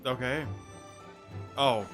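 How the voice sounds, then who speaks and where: A man's voice: mid-range voice, drawn-out words, music in the background. A man streaming a game, giving informal, joking let's play commentary. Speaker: gaming streamer.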